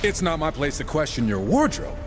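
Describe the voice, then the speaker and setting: A man's voice: a little high, very animated, brisk. An actor playing a wisecracking, impatient male horseman in a video game, sarcastic and flippant. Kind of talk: video game cutscene acting. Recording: long hall reverb, music behind.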